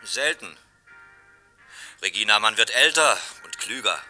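A man's voice: on the low side, animated, a little slow, pushed and bright, soft background music, exaggerated voice. An actor in a German radio drama playing a wistful, slightly boastful man pleading with a former girlfriend. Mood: wistful, earnest.

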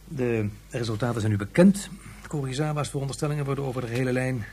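A man playing an earnest scientific official, formal and deliberate with some hesitation, in a science fiction radio play. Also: mid-range voice, dark tone, a little hiss or hum, lightly acted. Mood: earnest, reporting. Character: earnest male scientific official reporting to superior.

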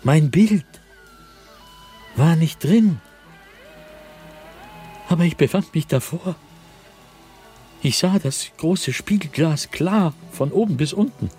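An actor playing a tormented, desperate man obsessed with an unseen being, in a theatrical, halting German radio drama monologue. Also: on the high side, animated, warm tone, faint music behind. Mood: horrified. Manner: halting, repetitive, dramatic, with anguished emphasis.

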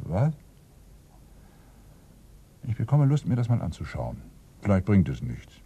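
Sardonic, husky police inspector. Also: male voice, lowish voice, dark tone, exaggerated voice. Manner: dry, ironic, brusque. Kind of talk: German radio play acting.

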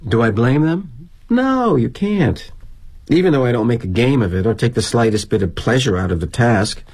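A husky, wry corporate executive. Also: male voice, medium pitch, expressive, normal speed, a little mellow. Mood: rueful, confiding. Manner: arch, wry, mannered phrasing. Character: jaded middle-aged corporate executive, darkly wry, self-justifying. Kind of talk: dramatic monologue, theatrical storytelling.

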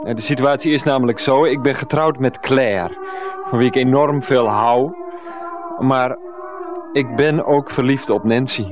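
An actor playing an earnest, pressured middle-aged ex-teacher with a Netherlands Dutch accent in a radio comedy drama, wordy and hesitant. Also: male voice, mid-range voice, measured pace, music in the background, lightly acted. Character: Freek, pressured 39-year-old ex-teacher, earnest, henpecked by mother.

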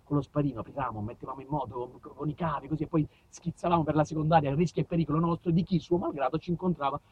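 A man's voice: fairly high pitch, racing, slightly rough, narrow, tinny audio. A man delivering a theatrical storytelling monologue, emphatic and declamatory, repeating phrases for effect. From southern Italy. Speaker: actor-storyteller.

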